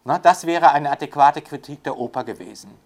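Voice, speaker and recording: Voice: medium pitch, a little fast. An interviewee talking in a loose, rambling way, full of fillers and tag questions. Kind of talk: discursive spoken commentary.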